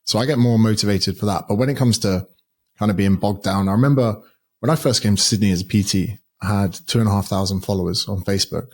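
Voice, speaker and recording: Male podcast guest, low husky voice, blunt and profane. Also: fast. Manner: blunt and swears freely. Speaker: guest, educator and author. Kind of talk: casual podcast conversation.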